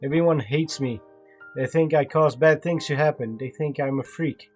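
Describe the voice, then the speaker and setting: A man's voice: on the high side, normal speed, breathy edge, faint music behind. A man reading a despairing outcast young girl.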